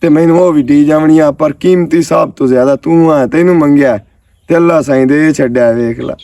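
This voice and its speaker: A man's voice: a little high, normal speed, warm tone, close to an everyday voice. A man with a Pakistani Punjabi accent playing a lonely, lovestruck rural bachelor in a comedy skit, chatty, eager and effusive with blessings. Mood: smitten. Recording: roomy echo, distant microphone.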